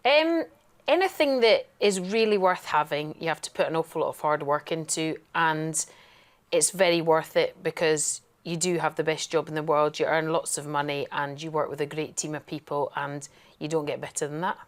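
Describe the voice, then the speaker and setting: Woman, instructor, slightly low pitch, emphatic, encouraging, conversational. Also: moderate pace. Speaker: air traffic control instructor. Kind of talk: conversational panel interview.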